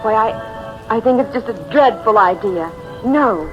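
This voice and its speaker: An actress playing a jumpy, anxious young newlywed wife, fretful and pleading, in mid-century radio drama style. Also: female voice, on the high side, expressive, unhurried. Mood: alarmed, pleading. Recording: dull vintage radio recording, music behind.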